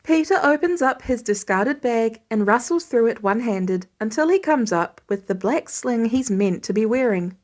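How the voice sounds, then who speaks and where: Higher-pitched woman reading a story. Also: expressive, normal speed, few pauses, a little mellow. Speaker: fan fiction podfic reader. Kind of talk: scripted story narration.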